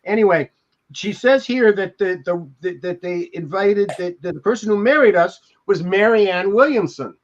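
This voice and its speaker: An older American man on a podcast, telling anecdotes and reading from a memoir in a halting, reflective way. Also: high voice, expressive. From Beverly Hills, California. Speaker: podcast co-host. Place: indoors at home, on a video call. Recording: dull lo-fi video call audio.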